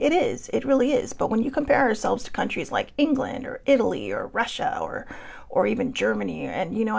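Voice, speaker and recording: An American woman with heavy vocal fry gives personal commentary on a livestream. Her pitch sits in an average range, and she talks earnestly, pausing to reflect and repeating phrases for emphasis. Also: a little mellow. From United States. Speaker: livestream host. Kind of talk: personal, heartfelt spoken commentary.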